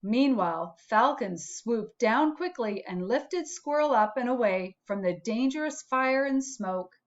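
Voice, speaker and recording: Female storybook narrator: high-pitched, unhurried, expressive read-aloud for children, slightly dull sound. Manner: measured, storytelling. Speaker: storybook reader.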